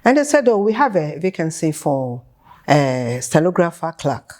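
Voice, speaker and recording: A woman's voice: low-pitched, very animated, moderate pace, darkish tone. Ghanaian woman in her seventies, recounting her life. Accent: Ghanaian with a touch of British. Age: 70s. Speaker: interview guest. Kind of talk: conversational life-story interview.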